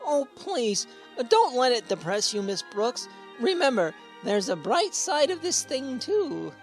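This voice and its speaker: A woman's voice: sits high, very animated, a little slow, soft background music, exaggerated voice, poor audio. A woman playing a gawky, earnest teenage schoolboy in a radio sitcom, wordy and easily flustered. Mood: cheerful. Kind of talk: old-time radio sitcom acting.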